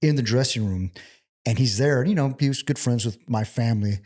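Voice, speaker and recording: A man in his fifties relaxes into a long anecdote, sprinkling his talk with "you know" and loose restarts. His voice sits at an ordinary middle pitch, and he tells his stories with easy animation. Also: a little fast. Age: 50s. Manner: animated storyteller, chatty with lots of "you know". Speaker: guest, former pro wrestler. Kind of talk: casual anecdotal storytelling.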